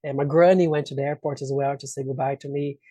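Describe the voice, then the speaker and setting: A man's voice: mid-range voice, steady tone, fast talker, dark tone, dry recording. A man from Brazil with a Brazilian accent and native Portuguese, telling personal stories casually as an interview guest and acting out what people said.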